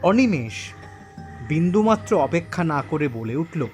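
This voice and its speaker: A man narrates an audio horror tale in the first person, his voice fairly high and very expressive. He phrases each moment deliberately for suspense, over soft background music.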